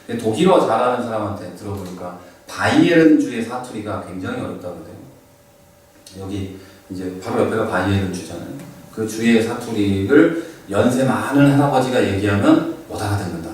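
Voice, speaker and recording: A man's voice: lowish voice, normal speed, darkish tone, heavy reverb, recorded from a distance, a little hiss or hum, poor audio. A middle-aged man teaching a Bible class in a conversational lecturing manner with fillers and asides. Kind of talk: lecture on biblical background.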